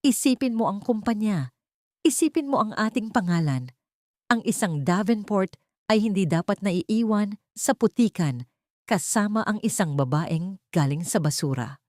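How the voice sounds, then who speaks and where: A woman's voice: mid-range voice, animated, normal speed, mellow, no echo, studio quality, close to an everyday voice. A woman playing a cold, manipulative older rich mother in a dramatic audio story, speaking sharply and commandingly.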